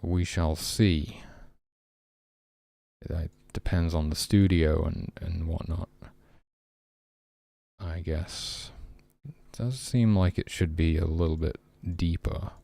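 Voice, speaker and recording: A man's voice: sits low, fairly even intonation, slow, creaky, long pauses, warm tone, no room sound. A man talking casually and hesitantly as he thinks aloud while working, like an indie developer on a stream. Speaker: indie game developer streaming his work.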